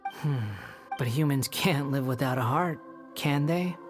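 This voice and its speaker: Low-voiced, sardonic young man. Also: unhurried. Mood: brooding. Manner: dry, scornful, aloof. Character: bitter, proud, sardonic young male former villain. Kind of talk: scripted video game dialogue. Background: music.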